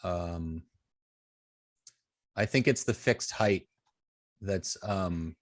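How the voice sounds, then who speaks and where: A man's voice: sits low, very slow, no room sound, studio quality. A man teaching an informal hands-on coding lesson, thinking aloud hesitantly and trailing off mid-sentence. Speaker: web design instructor.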